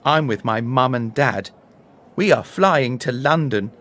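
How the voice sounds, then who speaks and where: A man's voice: medium pitch, animated, measured pace, a bit bright. A man voicing a surprised, hesitant young girl in a children's story. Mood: puzzled, hesitant.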